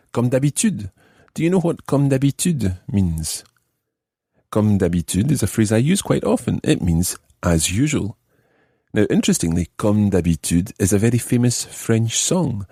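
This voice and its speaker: A man's voice: lowish voice, sing-song, measured pace, dark tone, breathy edge, no room sound, studio quality. A man hosting a language lesson, explaining phrases in a scripted teaching style and putting questions to the listener. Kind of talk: clear scripted teaching narration, switching between languages.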